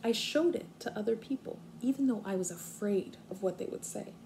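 A young woman, a kindergarten teacher, reading aloud expressively and explaining things simply and deliberately to small children. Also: medium pitch, gravelly, mellow, poor audio. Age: young adult. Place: living room at home, indoors. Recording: faint room noise, home video.

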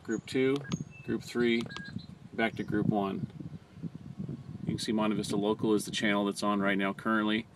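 A man's voice: lowish voice, level tone, normal speed, pauses often. An American man narrating a hands-on training video as an instructor, explaining plainly step by step. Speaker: fire department communications trainer. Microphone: handheld camera or phone close by. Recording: noticeable background noise, amateur camera audio.

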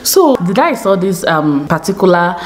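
Nigerian woman, low deep voice, chatty vlogger, keeps asking "okay?", small indoor room. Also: very animated, moderate pace, a little hiss or hum. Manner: chatty, often adds a checking "okay?". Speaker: YouTube vlogger. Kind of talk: casual chat to camera. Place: small indoor home studio.

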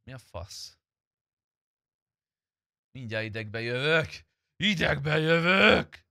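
A man with a bright, forceful voice, recorded close and dry. He chats casually over his gameplay as a streamer would, blunt and free with swearing. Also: fairly high pitch, expressive, creaky, dry recording. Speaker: game streamer. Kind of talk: casual gameplay chat and roleplay commentary.

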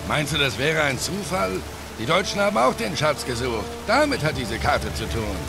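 An older male treasure hunter in a German game dub. He is streetwise and placating, his voice pushed high and very animated beneath a loud score. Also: normal speed, gravelly, music in the background. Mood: explaining.

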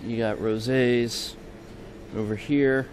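Slow-talking man, offhand store vlogger. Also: mid-range voice, steady tone, a little mellow, low-quality recording. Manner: offhand, hesitant, trailing off in fragments. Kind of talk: casual shopping tour commentary. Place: large indoor supermarket. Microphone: handheld camera from behind it. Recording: clear store ambience noise.

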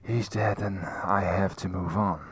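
Deep-voiced, slow-spoken weary villager. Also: male voice, steady tone, husky, no room sound. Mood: resigned, grieving. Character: weary male villager, grateful and resigned. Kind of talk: video game dialogue acting.

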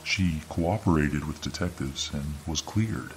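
Very deep-voiced male storyteller. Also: slight background noise. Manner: ominous, drawn-out delivery. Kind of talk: scripted ASMR horror narration. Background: background music.

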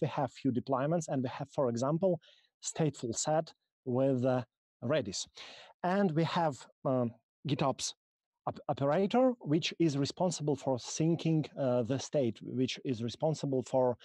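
A man from Russia with a Russian accent, speaking English as a second language and giving a halting technical conference talk over a video call, full of ums and restarts. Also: medium pitch, a little mellow, dry recording, studio quality. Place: small room, video call.

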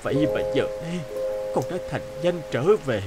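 An actor playing a lonely, remorseful old father in an animated drama, speaking in an emotional, sorrowful way. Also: medium pitch, normal speed, loud music behind the voice, lightly acted, rough recording. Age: older.

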